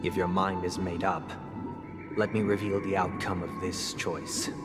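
A solemn, eloquent young gentleman from a video game. His voice is on the low side and measured, with formal phrasing. It rings with long reverb over clear background music. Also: level tone. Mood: solemn, composed. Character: composed, solemn young gentleman leader, protective brother. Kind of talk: dramatic video game voice acting.

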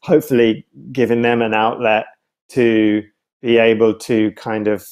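A man's voice: lowish voice, little pitch movement, a little slow, darkish tone, clear, resonant tone. A man in his thirties giving thoughtful interview answers on a video call, searching for words and often restarting his phrases. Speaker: guest, writer and theatre artist. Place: home room with bookshelves, indoors. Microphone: video call, wired earphones.

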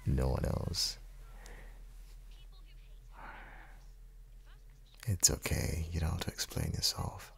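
A man playing an affectionate, playfully teasing young dad and husband in an intimate ASMR audio roleplay, speaking softly and often dropping into whispers. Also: full character voice. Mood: gentle teasing. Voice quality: very deep, husky, gravelly, breathy. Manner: soft, unhurried, often slipping into whispers.

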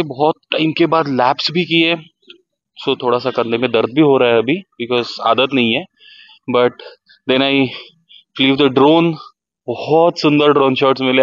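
A man's voice: mid-range voice, no echo, dull audio. A man in his thirties with an Indian accent, chatting casually to his camera outdoors at a resort. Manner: chatty, upbeat, talks straight to camera. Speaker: motovlogger host. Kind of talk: casual vlog chat mixing Hindi and English. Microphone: selfie camera at arm's length.

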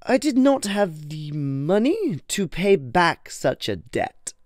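Casting: female actor for fussy, polite Sylvari lady in fantasy audio drama, slow, swooping delivery, noisy recording. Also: on the low side, big pitch swings, darkish tone, no echo, exaggerated voice. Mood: fretful. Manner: polite, flowery, fretful. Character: genteel, fussy, clumsy plant-folk Sylvari lady. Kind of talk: fan audio drama acting. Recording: clearly noisy background.

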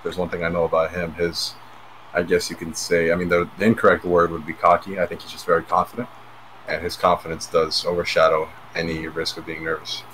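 A man's voice: low-pitched, completely flat delivery, fairly quick, fairly bright tone, slight background noise, low-quality recording. A man giving analysis on an online game broadcast, explaining his reasoning at length. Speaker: analyst commentator. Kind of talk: sports analysis.

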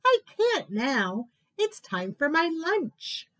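A defiant little storybook mouse who swears he is a tiger, as a woman puts him on. He talks high and slow, swooping up and down as he digs in his heels. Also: very animated, a bit bright, heavily acted. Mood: stubborn boasting. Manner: stubborn, defiant. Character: small boastful mouse who insists he is a tiger. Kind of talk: picture-book read-aloud voice.

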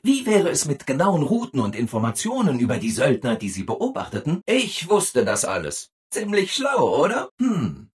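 A man's voice: fairly high pitch, wildly swooping pitch, moderate pace, few pauses, breathy edge, full character voice. A man playing a relentlessly cheerful, obliging robot assistant in a video game, with an overeager, singsong delivery. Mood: smug, upbeat. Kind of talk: scripted video game dialogue.

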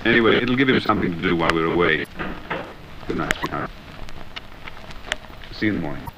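An actor playing a suave, confident intelligence agent with brisk assurance, in 1960s radio thriller style. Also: male voice, low-pitched, animated. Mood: affable, brisk. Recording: muffled, noisy damaged 1960s flexidisc.

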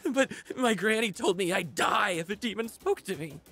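A woman's voice: medium pitch, lively intonation, moderate pace, fairly bright tone. A woman voicing a timid, superstitious young junker in a video game, stammering and melodramatic. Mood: puzzled, fearful. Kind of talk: video game cutscene acting.

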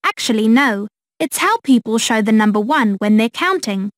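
Upbeat young woman, played by a woman, higher pitch, lively, dry studio. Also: moderate pace, dry recording, natural voice. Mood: explaining. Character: young woman back from holiday. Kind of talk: scripted textbook dialogue.

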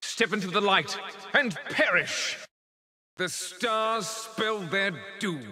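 A man's voice: sits high, slow pace, bright, forceful tone, exaggerated voice. A man voicing a theatrical, ominous magician in a video game. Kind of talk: video game battle callouts. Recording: hall reverb, music underneath.

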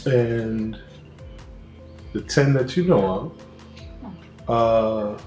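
A male interviewer asks measured, courteous questions in a documentary setting. His voice carries a noticeable room echo, with soft music beneath it. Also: lowish voice. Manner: measured questioning, calls the guest sir. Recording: roomy room sound.

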